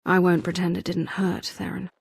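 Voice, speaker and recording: Gravelly, husky female commander. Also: lowish voice, completely flat delivery, moderate pace. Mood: tender, forgiving. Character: weary, forgiving female galactic Alliance commander. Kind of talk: video game cutscene acting.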